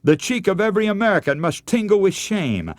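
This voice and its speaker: A man's voice: sits high, big pitch swings, a little fast, no echo. An older man preaching a radio sermon, telling stories in an earnest way and calling his listeners friends.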